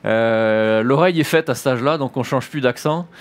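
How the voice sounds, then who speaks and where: A man in his fifties from northern France with a French accent, a military chaplain giving his testimony in church, pausing often with fillers. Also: mid-range voice. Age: 50s. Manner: hesitant, many "euh" fillers and restarts.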